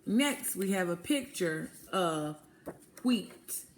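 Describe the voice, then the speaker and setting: Slow-paced woman teacher reading to children. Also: lowish voice, expressive, a little hiss or hum. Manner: asks questions, pauses, then affirms answers; repeats and explains words simply. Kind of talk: interactive picture-book read-aloud lesson.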